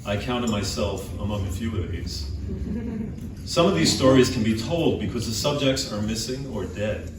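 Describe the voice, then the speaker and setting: A middle-aged man reading his own literary short story aloud at a live indoor event, in a measured way with pauses between short phrases. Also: lowish voice, expressive, noisy background. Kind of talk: literary spoken-word story reading with musical accompaniment. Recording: very echoey room, distant microphone. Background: live guitar accompaniment.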